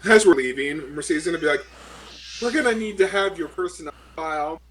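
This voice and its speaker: A man's voice: a little high, brisk, fairly bright tone. A man playing a tabletop game, jokingly describing what his character does in casual chat.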